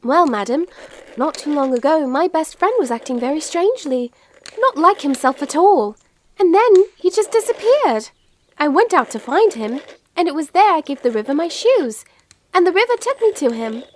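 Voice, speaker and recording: Actress as earnest teenage girl, extremely high voice, animated, polite, determined, audio drama, faint noise. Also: moderate pace. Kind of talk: amateur audio play acting.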